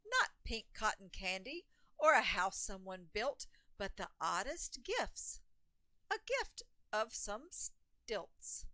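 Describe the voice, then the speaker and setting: A woman's voice: medium pitch, big pitch swings, slow, sharp tone, no echo. A woman reading a rhyming children's picture book aloud in a theatrical, emphatic storytelling manner.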